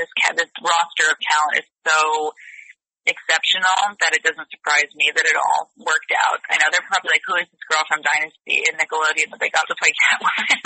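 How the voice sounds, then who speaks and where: Bubbly woman actress, bright husky voice. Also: sits low, dry recording, rough recording. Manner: bubbly, laughs often, gushing. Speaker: voice actress guest. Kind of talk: press interview.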